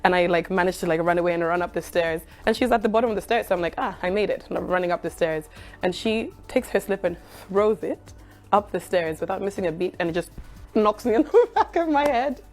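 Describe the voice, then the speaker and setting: A woman, a radio journalist, telling an animated story and acting out quotes with lots of laughter. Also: on the low side, quick pace, soft background music. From Kenya. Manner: lively, acts out quotes, laughs a lot.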